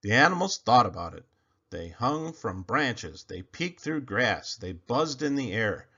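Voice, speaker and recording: Animated man reading a picture book. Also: medium pitch, unhurried, husky. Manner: expressive, animated storytelling. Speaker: storyteller. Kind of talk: children's read-aloud narration. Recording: slightly muffled recording.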